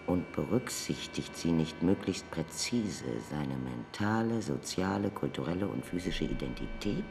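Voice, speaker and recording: An actress playing a cool, ambitious state prosecutor in a German radio drama, formal and guarded. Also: female voice, extremely low pitch, gravelly, background noise, heavily acted. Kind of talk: German radio drama acting, dialogue and dictated reports.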